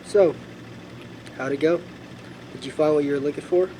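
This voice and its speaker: A man plays a heartbroken God speaking as a father. His voice sits a little high and is reflective and sorrowful. There is some noise behind this outdoor film dialogue. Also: moderate pace, dark tone, lightly acted, poor audio. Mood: weary, probing.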